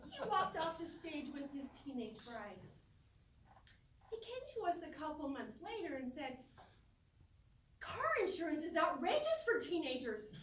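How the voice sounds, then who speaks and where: A middle-aged woman giving a humorous storytelling speech to a live audience, expressive and theatrical, with dramatic pauses and drawn-out words. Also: sits high. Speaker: speech contest competitor. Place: roomy indoor space before an audience. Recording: echoey room, distant microphone, faint noise.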